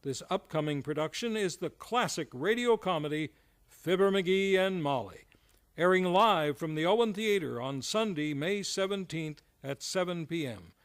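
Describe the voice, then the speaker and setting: A man's voice: high voice, expressive, normal speed. A man working as a radio show announcer, reading scripted credits in a formal, polished old-time radio style. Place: live on a theater stage.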